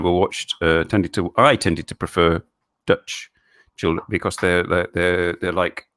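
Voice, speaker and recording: A middle-aged man with a deep, low voice. He chats loosely on a live stream, reading out viewer questions and answering them in halting bursts, with stumbling restarts and frequent chuckles. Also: a bit bright. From England.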